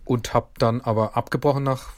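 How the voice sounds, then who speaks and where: A man in his forties from Mannheim talks as a guest, his speech carrying a light local accent. He hesitates a lot, restarting sentences and filling gaps with small sounds. His pitch sits in the middle of the male range. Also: steady tone, brisk, slight background noise. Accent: Mannheim dialect. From Mannheim region, Germany. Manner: hesitant, many fillers and restarts. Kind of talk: casual interview.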